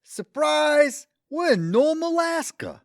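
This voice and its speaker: A man's voice: very high voice, very animated, slow pace, dry recording, clean studio sound, full character voice. An actor playing an eager, sweet older man from Brooklyn in an audio comedy, folksy and enthusiastic. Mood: excited. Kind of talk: audio theatre comedy acting.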